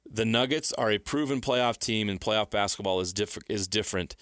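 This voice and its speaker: A man's voice: lowish voice, normal speed, fairly bright tone, no room sound, clean studio sound. A man co-hosting a sports radio show, casually debating in an opinionated, declarative way.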